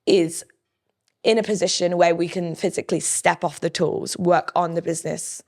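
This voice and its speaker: A croaky woman in her thirties with a low, gravelly voice, talking candidly on a podcast. She tells her story plainly and runs through lists of tasks one after another. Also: fairly even intonation, normal speed, darkish tone. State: hoarse, croaky. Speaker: podcast guest, business co-owner. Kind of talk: casual interview chat.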